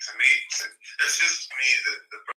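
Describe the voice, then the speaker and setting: A man on a phone call, apologizing over and over in a halting, repetitive way. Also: medium pitch, sharp tone, echoey room, far from the mic. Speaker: caller on a livestream.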